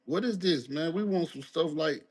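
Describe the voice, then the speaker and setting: A rapper, talking in a casual interview, halting with many fillers and restarts. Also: male voice, husky. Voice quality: deep voice. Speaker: guest, rapper.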